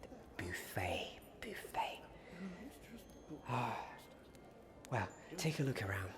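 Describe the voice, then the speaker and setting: A man doing ASMR fantasy roleplay, soft and often slipping into a whisper, speaking gently and hesitantly to the listener. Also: on the low side, sing-song, takes it slowly, over music. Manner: gentle, hesitant, repeats himself, addresses the listener.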